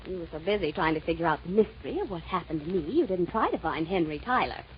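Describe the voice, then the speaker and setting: A woman's voice: on the low side, animated, fast, natural voice. An actress playing a flustered, coaxing young woman in a 1940s radio drama, pleading and stammering as she explains herself. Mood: coaxing, pleased. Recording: dull, noisy old radio recording.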